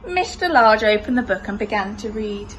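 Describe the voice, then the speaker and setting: A woman's voice: medium pitch, expressive, normal speed, a bit bright, slight background noise, rough recording. A woman reading a children's storybook expressively, in the style of a primary school teacher.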